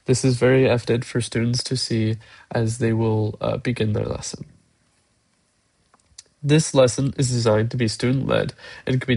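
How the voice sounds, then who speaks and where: A man's voice: mid-range voice, darkish tone, no room sound, rough recording. A young man with a stuffy, congested nose from a cold, hesitantly walking through a lesson he built, with many "uh" fillers, recorded as a voice-over on a computer mic. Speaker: education student presenting a lesson. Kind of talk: screen-recorded lesson walkthrough. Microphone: computer mic, voice-over on screen recording.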